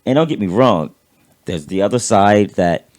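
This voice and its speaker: A man with a somewhat low, raspy voice full of vocal fry holds forth in a casual podcast chat. He circles back on his words, repeating himself and swearing as he works through a point. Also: lively intonation, moderate pace, darkish tone. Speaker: podcast co-host.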